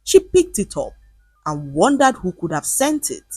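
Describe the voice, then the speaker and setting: A woman narrating a scripted folktale in an expressive, dramatic storytelling manner. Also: on the low side, unhurried, slightly rough, no echo, faint music behind.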